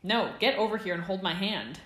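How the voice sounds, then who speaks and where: A woman's voice: on the low side, animated, unhurried. Woman voicing a bossy teasing schoolboy, roomy sound. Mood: mock stern, shouting. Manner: scolding, mock-stern, insistent.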